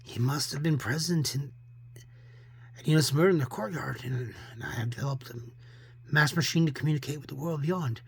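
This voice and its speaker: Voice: medium pitch, a little fast, creaky, husky, no echo. A reader voicing a blustering, boastful inventor in a comic book story, pompous and accusing.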